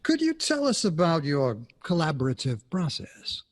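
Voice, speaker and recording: A man's voice: fairly high pitch, wildly swooping pitch, normal speed. A man acting in an audio drama as a polite, probing 1960s radio interviewer.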